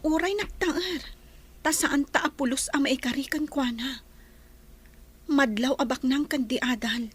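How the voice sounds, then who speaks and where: A woman's voice: high-pitched, measured pace, long pauses. A woman with an Ilocano Filipino accent playing a bashful young woman in a comedy radio drama, coy and protesting. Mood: scolding, embarrassed. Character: bashful young woman, denies having a crush.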